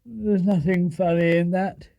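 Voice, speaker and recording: A man's voice: high-pitched, steady tone, a little slow, creaky, warm tone, pitched above the performer's own voice, rough recording. A British man playing a sleepy, resigned middle-aged husband in a children's storybook. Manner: drowsy, resigned.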